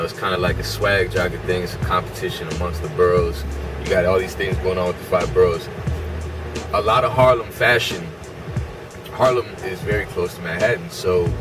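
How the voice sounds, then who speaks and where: Deep-voiced man rambling over loud music. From Germany. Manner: rambling, self-correcting, excited. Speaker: interview guest. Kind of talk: casual interview talk. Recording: distant mic, loud music behind.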